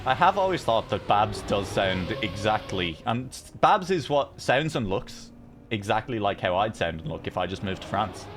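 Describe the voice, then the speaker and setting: A man's voice: fairly low pitch, very animated, normal speed, noisy background. A man co-casting a live racing esports match, playful and giggly and often joking about himself. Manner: playful, giggly, self-deprecating. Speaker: esports co-caster. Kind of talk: casual live esports commentary.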